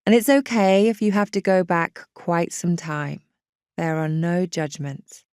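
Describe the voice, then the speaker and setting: A woman guiding a meditation in a gentle, reassuring, unhurried manner. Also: fairly low pitch, steady tone, clear, resonant tone, no echo, studio quality. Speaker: meditation guide. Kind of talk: guided meditation narration.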